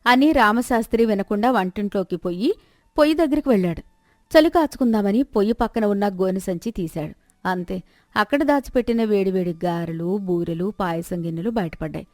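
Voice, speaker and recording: A woman's voice: medium pitch, fairly quick, darkish tone, dry recording, clean studio sound. A woman storyteller reading a scripted moral tale as narration.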